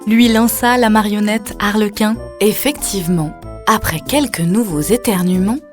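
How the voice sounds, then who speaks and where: A woman's voice: mid-range voice, normal speed. Woman narrating a children's story over music. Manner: addresses the child listener with questions.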